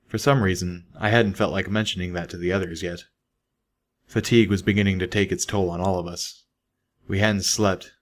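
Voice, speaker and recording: A man reading a scripted first-person story as an audiobook narrator. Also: on the low side. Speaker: audiobook reader.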